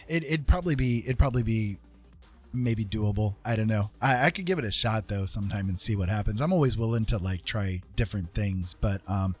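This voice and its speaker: A man's voice: fairly low pitch, animated, brisk, soft background music. A man hosting a casual live art stream, chatting to viewers in a rambling, hesitant way and often repeating himself. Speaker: live stream host and artist.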